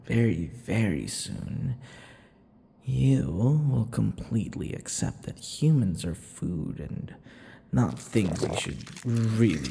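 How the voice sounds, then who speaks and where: A man playing a possessive vampire lover in an intimate ASMR roleplay, teasing and menacing, murmuring slowly with drawn-out words. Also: dark tone, exaggerated voice. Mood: coaxing, possessive. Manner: slow, breathy murmurs, drawn-out words, low chuckles. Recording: long reverb, faint background noise.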